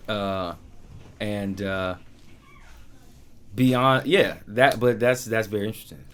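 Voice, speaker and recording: A man hosting a podcast, chatting casually and telling stories in an animated way with frequent check-in questions. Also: lowish voice, slow, clean tone. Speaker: podcast host, musician.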